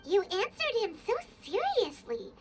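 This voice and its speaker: A woman voicing a tiny, blunt, petulant fairy companion in a game, with a squeaky childlike voice and third-person self-reference. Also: wildly swooping pitch, unhurried, exaggerated voice. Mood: scolding. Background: game music.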